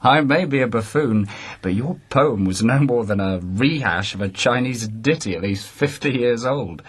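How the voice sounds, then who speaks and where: An actor playing an erratic, hard-drinking court poet with a mocking, sharp tongue. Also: male voice, mid-range voice, lively intonation, moderate pace, breathy edge, no echo. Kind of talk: radio drama acting.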